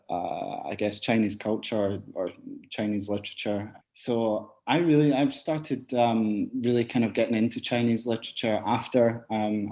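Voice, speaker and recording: A man's voice: on the low side, darkish tone. A man in his thirties with a Glaswegian Scottish accent, answering interview questions casually and hesitantly. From Glasgow, Scotland. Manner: hesitant, many ums. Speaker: podcast guest.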